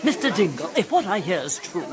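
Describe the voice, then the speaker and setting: A woman's voice: on the low side, very animated, a little fast, crowd noise. An actress in a radio drama playing an eager, persuasive female circus owner. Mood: enticing.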